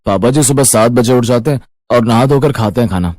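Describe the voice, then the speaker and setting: A man's voice: mid-range voice, fairly quick, a little mellow, dry recording, clean studio sound, lightly acted. A man voicing a dutiful young married son with an earnest, explanatory manner in a cartoon moral story. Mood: apologetic, earnest.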